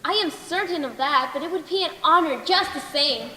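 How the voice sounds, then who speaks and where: A woman's voice: sits high, animated, moderate pace, sharp tone. A young teen girl on a school stage playing a kind, earnest youngest sister who becomes queen. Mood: modest, earnest. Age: young teen middle schooler. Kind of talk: school stage play acting, projected lines. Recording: echoey reverberant hall stage recording.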